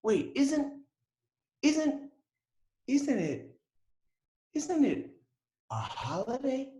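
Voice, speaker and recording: A man voicing an excitable husband who talks in a high, eager rush and repeats himself. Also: drawn-out words, roomy sound, full character voice. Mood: excited. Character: excitable man, breathless with good news.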